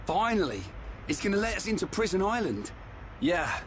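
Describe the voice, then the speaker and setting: Eager, high-voiced young adventurer. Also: male voice, very animated, normal speed, fairly bright tone, a little hiss or hum. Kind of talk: dramatic video game voice acting.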